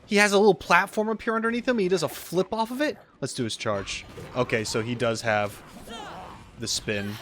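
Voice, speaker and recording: A man's voice: high voice, expressive, moderate pace, fairly bright tone. A man giving casual gaming reaction commentary as a video host, informal and exclamatory, thinking aloud as he goes. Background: background game music.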